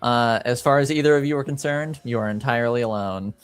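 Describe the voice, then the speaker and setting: A man's voice: medium pitch, brisk, clear, resonant tone. A man acting as game master, casually running a tabletop roleplaying game and giving rulings.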